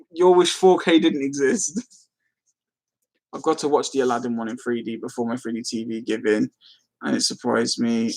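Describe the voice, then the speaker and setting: A man's voice: fairly high pitch, fairly bright tone. Giggly man chatting on a live stream. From London, England. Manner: rambling, easily amused, giggly. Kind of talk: casual chat, answering live comments.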